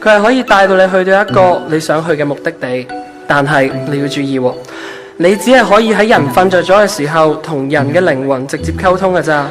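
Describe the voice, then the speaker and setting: A man's voice: on the high side, a little fast, close to an everyday voice. A man playing a kindly, easygoing ancient saint of love in a Hong Kong Cantonese radio drama. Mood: breezy, reassuring. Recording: background music under the voice.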